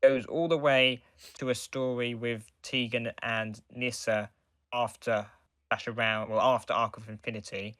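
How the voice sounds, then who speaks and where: A man co-hosting a casual podcast discussion, explaining in a halting, rambling way with restarts. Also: mid-range voice, fairly even intonation, a bit bright, no echo, clean studio sound. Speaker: podcast co-host.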